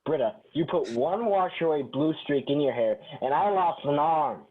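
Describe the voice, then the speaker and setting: A man's voice: fairly high pitch, animated, moderate pace, husky. Tinny narrow-band audio, man as sarcastic sitcom cynic, bitter. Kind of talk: amateur sitcom table read.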